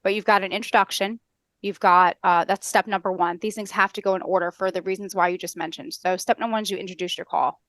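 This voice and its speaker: A middle-aged American woman on a headset, explaining step by step as a podcast guest in a brisk, structured, instructional way. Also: mid-range voice, steady tone, a bit bright, no room sound. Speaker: podcast guest, customer service trainer. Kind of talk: explanatory interview talk with acted-out call examples. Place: indoor room on a video call.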